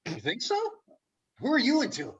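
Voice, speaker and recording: A man playing an exasperated, sardonic office worker in a comedy play reading, with a husky voice and sharp sarcastic manner. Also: very high-pitched, wildly swooping pitch, normal speed, lo-fi sound, heavily acted. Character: Dave, exasperated, sardonic office worker turned reluctant hero. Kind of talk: table read of a comedy play.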